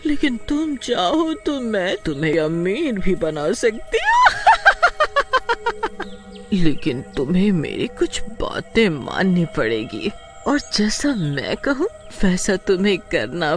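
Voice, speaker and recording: A storyteller voicing a bloodthirsty female ghost, gleeful and menacing, with drawn-out words and cackles, in a horror storybook tale. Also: medium pitch, wildly swooping pitch, soft background music, full character voice. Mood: luring, threatening.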